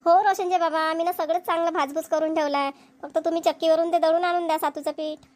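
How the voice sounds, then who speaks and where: A woman's voice: very high-pitched, fairly even intonation, fast. A woman voicing a patient village mother in a cartoon, speaking in rural dialect. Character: village mother, patient, homely, dutiful wife. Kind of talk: cartoon voice acting.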